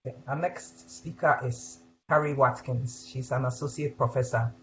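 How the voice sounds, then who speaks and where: A man moderating a video call panel discussion, soft-spoken and hesitant as he introduces the speakers. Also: medium pitch, fairly even intonation, normal speed, slight background noise. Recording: dull lo-fi call audio, off-mic.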